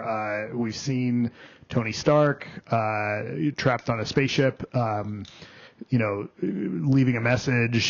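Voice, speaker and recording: Male critic co-host, mid-pitched, halting delivery full of fillers, slightly muffled lo-fi sound. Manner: halting, many ums and restarts. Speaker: film critic, podcast co-host. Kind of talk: casual podcast review chat. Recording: dull lo-fi podcast audio.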